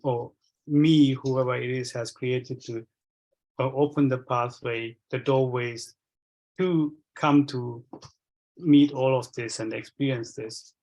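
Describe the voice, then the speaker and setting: A man from Sri Lanka whose first language is Tamil, sharing his experience of energy work in a halting, reflective way with many restarts. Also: medium pitch, fairly even intonation, a little mellow, low-quality recording.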